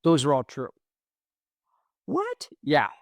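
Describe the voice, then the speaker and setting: A man's voice: fairly high pitch, huge pitch swings, creaky, no echo, clean studio sound. Mumbling man with lingering S sounds. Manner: mumbles words, slightly drawn-out S sounds; rambling, self-deprecating. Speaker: podcast host. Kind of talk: casual solo monologue.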